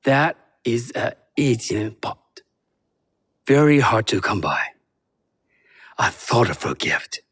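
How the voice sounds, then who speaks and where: A man playing a courteous, dignified tea master in a video game, with a formal, gracious and measured manner. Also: mid-range voice, very animated, fairly bright tone, breathy edge, exaggerated voice. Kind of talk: video game cutscene acting.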